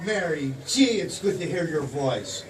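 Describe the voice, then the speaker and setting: A man's voice: a little high, very animated, unhurried. An actor playing a breezy, boastful young man on a telephone call in an old-time radio play. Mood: jovial. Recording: distant stage mic, faint noise.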